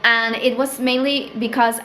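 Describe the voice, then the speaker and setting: A woman's voice: a little high, flat delivery, measured pace, sharp tone, slight background noise. Chatty young Peruvian woman, Spanish accent. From Peru. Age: young adult. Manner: chatty, fills with "like" and "um", rises for quoted thoughts. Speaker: small business owner vlogger. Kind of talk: casual chat to camera. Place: small indoor room with tea stock shelf.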